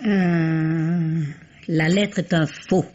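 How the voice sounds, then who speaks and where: A performer playing an astute female amateur sleuth in a radio mystery, deliberate and dryly playful. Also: fairly high pitch, steady tone, a little mellow, a little hiss or hum. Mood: assured, appraising. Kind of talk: vintage French radio mystery acting. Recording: dull, muffled old radio recording.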